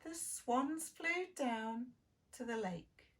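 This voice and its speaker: A woman reads a children's story a little way from the microphone, in a high, very lively voice. She takes her time, with sing-song phrasing and dramatic pauses for young listeners. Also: heavy background noise. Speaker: preschool early years lead reading to children. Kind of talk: expressive children's storybook reading. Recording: distant microphone.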